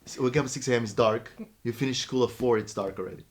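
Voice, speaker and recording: A young man from Portugal telling stories in a relaxed podcast chat, with many fillers. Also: medium pitch, fast. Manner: relaxed storytelling with lots of fillers. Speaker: podcast co-host. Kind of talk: casual chat.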